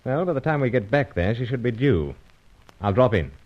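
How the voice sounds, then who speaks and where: An actor playing an unflappable British secret agent in a radio adventure serial, with a clipped, understated delivery. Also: male voice, mid-range voice, big pitch swings, a little fast. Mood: pondering. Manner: clipped sentences with dropped words, understated. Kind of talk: old-time radio adventure serial acting and narration. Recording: dull, noisy old radio recording.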